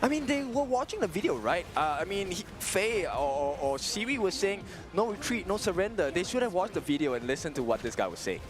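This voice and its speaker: Loud, lively male esports analyst. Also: high voice, music in the background. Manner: loud, animated, quick to laugh. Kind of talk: post-game analysis desk chat.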